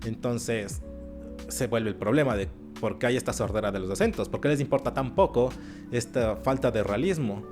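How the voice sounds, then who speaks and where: A man giving animated, sarcastic commentary in an opinion video. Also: medium pitch, moderate pace. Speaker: YouTube commentator. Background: faint background music.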